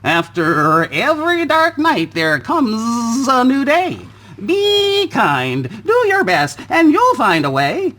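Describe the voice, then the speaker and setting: A man voicing encouraging little storybook bees, with lilting, drawn-out words. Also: very high-pitched, sing-song, pushed and bright, voice pushed up, exaggerated voice. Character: kindly encouraging little bees cheering someone on. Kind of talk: storybook character voice.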